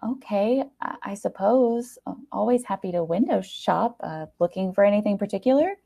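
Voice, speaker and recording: A woman's voice: medium pitch, lively intonation, moderate pace, gravelly, warm tone, voice pushed up. A woman voicing a giddy young bride-to-be who is exclamatory and turns hesitant and pleading when challenged. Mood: anxious, questioning.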